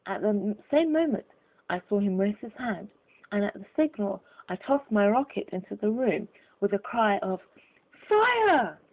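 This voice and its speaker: A woman reading a literary story as an audiobook narrator, in a measured, formal storytelling manner. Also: medium pitch, expressive. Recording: narrow, phone-like lo-fi audio.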